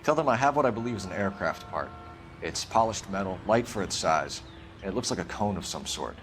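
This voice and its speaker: An actor playing a stern, probing police detective in a video game, formal and clipped. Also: male voice, low voice, lively intonation, fairly quick, over music. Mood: businesslike. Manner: formal, clipped, pressing questions. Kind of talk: video game dramatic acting, interrogation.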